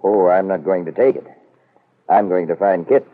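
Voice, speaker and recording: Deep husky wry radio businessman. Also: steady tone, normal speed. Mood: wary, defiant. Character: proud, wily middle-aged businessman father, cornered but defiant. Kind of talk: 1940s radio soap opera acting. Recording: muffled old radio broadcast.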